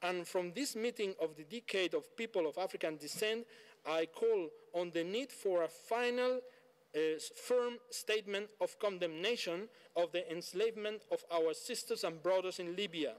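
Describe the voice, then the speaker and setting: A middle-aged man with a notably high voice reads out a formal statement. He speaks as a delegate from the floor, into a desk microphone in a conference room. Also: moderate pace, smooth. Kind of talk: prepared statement at a formal meeting. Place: large indoor conference room.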